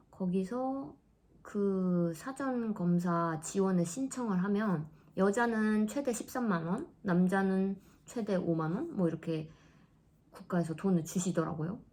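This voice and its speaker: A woman in her thirties chatting casually in a vlog, laughing often and dropping into soft asides. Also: on the low side. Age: 30s. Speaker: vlogger.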